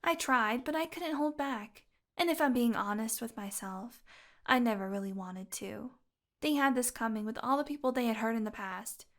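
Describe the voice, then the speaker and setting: A woman playing a possessive fantasy healer in an audio roleplay, tender and secretly bloodthirsty, speaking softly and deliberately. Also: on the high side, lightly acted. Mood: confessing, cold. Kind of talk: intimate audio roleplay monologue.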